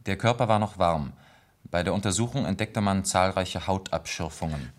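This is an actor playing a dutiful male police lieutenant in a radio play. He has a deep voice. He reads official notes formally and with care, hesitating between items. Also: moderate pace, natural voice. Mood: formal, sober. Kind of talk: German radio play acting.

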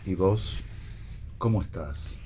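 An Argentine man playing a gruff, needling father in a radio play reading, with a husky voice. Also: low-pitched, takes it slowly, mellow, exaggerated voice. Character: gruff, needling father and husband, forgetful with names. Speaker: actor in a read-theatre radio play.

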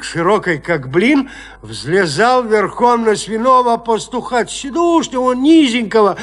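A man narrating a classic horror tale in an audio play, with theatrical, expressive delivery. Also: sits high.

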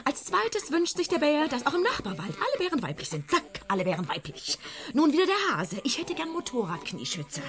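An actress playing a sardonic, fed-up woman who mocks her bossy man with a dry, deadpan joke in a radio play. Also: high-pitched, brisk, heavily acted. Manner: dry, mocking joke-telling.

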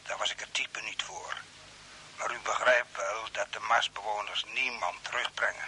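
A man acting in a radio play as a mysterious, calmly insistent Earthman stranded on Mars, speaking in a measured, formal way. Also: lowish voice. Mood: calm, knowing. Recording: hissy old radio broadcast, faint noise.

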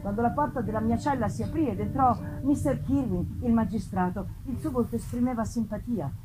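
A woman narrating a gothic novel in a deliberate, theatrical way, with emphatic swells and drops. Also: on the high side. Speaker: narrator, reader. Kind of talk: dramatic reading of a gothic novel. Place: live festival performance. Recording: live reading with faint ambient noise.

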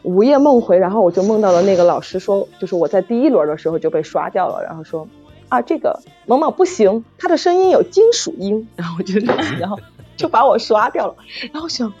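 A woman chatting casually as a guest author, halting and repeating phrases, with self-deprecating giggly humor. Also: medium pitch, big pitch swings, dark tone, breathy edge. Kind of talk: casual unscripted chat. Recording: muffled lo-fi audio, music behind. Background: saxophone background music.

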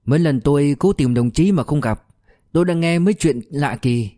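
A man reading as a blunt old comrade, mellow. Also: on the high side, normal speed, no echo, studio quality, voice pushed up, lightly acted. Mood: insistent. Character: old male revolutionary comrade, blunt and insistent.

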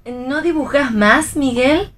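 A woman with an Argentine accent playing a stoic, plain-spoken, quietly hopeful woman in a read radio play. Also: high-pitched, slow. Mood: reserved. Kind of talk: read radio theatre dialogue.

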